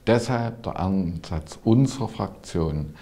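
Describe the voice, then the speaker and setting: A man in his forties giving a measured, prepared policy statement to camera as a politician. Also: deep voice, expressive, mellow. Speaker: party politician. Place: small indoor video studio. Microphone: lapel.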